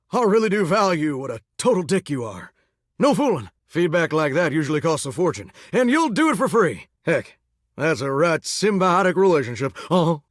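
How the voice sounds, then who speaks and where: An actor playing a cocky, folksy singing faucet in a video game, styled as a 1950s heartthrob with a hammy, drawling American Southern voice. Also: male voice, on the high side, no echo, clean studio sound. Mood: smooth, folksy. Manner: hammy, drawling, old-fashioned rock-and-roll showman. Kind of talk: theatrical game voice acting.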